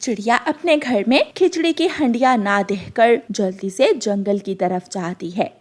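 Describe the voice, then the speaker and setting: A woman's voice: on the high side, unhurried, darkish tone. A woman narrating a scripted cartoon story.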